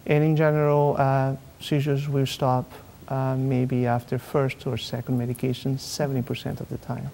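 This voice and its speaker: A man's voice: mid-range voice, monotone, vocal fry, dark tone. A man from Spain, a neurosurgeon guest on a TV talk show, explaining carefully with many fillers. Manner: measured, halting with fillers. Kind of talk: TV interview, explaining.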